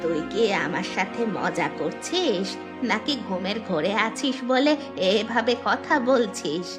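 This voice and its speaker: A woman voicing a kindly, motherly old village granny who scolds fondly in an animated moral story. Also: mid-range voice, measured pace, music in the background, close to an everyday voice. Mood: hurt, shocked. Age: older.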